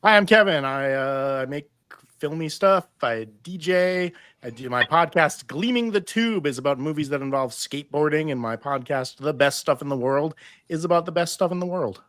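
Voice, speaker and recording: A man with a smooth, clear voice, pitched somewhat high. He introduces himself casually, and the sound is dry. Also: lively intonation, normal speed, fairly bright tone, no echo. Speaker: podcaster, DJ, panel member.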